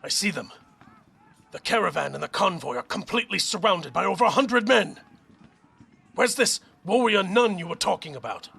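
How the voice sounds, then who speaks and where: A man playing a commanding, hot-blooded fortress captain in an audio drama, with a gravelly, raspy voice and forceful delivery. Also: high voice, expressive, moderate pace, heavily acted. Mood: tense, awed. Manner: forceful, barking orders.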